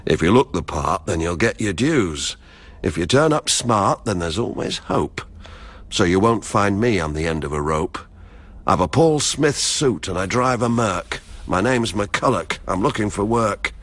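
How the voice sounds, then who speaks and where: An actor playing a proud, broke gambler and former security man in a verse radio drama, speaking with measured, boastful defiance. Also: fairly low pitch, expressive, a bit bright. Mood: proud, insistent.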